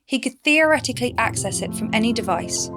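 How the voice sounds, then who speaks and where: An actress narrating a sci-fi audio drama as a composed archivist, in a documentary-like manner. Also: female voice, a little high, expressive, fairly quick, close to an everyday voice. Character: composed female archivist presenting expedition records. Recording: prominent music under the voice. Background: music.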